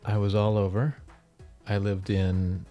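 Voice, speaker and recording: A man's voice: lowish voice, level tone, a little slow, dark tone, soft background music. A man chatting casually as a therapist and podcast co-host, plainspoken in his replies. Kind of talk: casual conversational chat.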